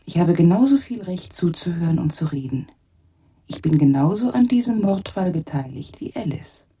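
A woman voicing a willful ventriloquist's puppet in a radio play, insistent, self-assured and possessive, with a breathy, husky voice. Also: on the low side, animated, normal speed, warm tone, far from the mic, a little hiss or hum, lightly acted. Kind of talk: radio drama acting.

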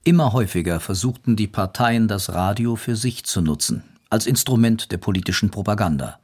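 A man narrating a scripted historical account in a measured, matter-of-fact way. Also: fairly low pitch, expressive, a little mellow, husky. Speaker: documentary narrator.

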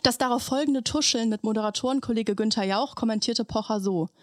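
A higher-pitched woman speaks in a very dry recording. She co-hosts a podcast in a colloquial storytelling style, reading out quotes with open indignation and emphasis. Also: a little fast, dry recording. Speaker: podcast co-host.

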